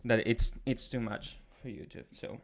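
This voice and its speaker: A young man from Chile with a Chilean Spanish accent, hosting a casual livestream and answering chat in a soft, hesitant way with many pauses. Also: mid-range voice, steady tone, vocal fry. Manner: soft-spoken, hesitant, many ums and restarts. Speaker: ASMR channel host. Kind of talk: casual livestream chat, reading viewer comments.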